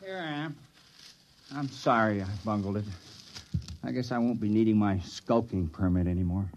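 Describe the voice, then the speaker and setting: Man acting a gravelly, rueful village fisherman. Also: lowish voice, normal speed, darkish tone, a little hiss or hum, lightly acted. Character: sheepish, plain-spoken village fisherman, failed criminal. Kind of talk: 1950s radio drama acting. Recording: dull old radio recording.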